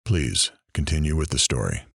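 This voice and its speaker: A sympathetic show host, acted by a man in an audio drama. His voice sits low and sounds dry, with no room around it. He questions attentively, gently prompting the story along. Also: sing-song, normal speed, creaky, slightly rough, no echo, full character voice. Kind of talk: audio drama acting, interview.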